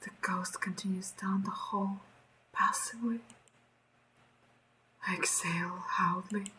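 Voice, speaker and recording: A woman streaming a visual novel, reading the narration aloud and reacting in an expressive, sweary way. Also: medium pitch, slow, a little hiss or hum, poor audio.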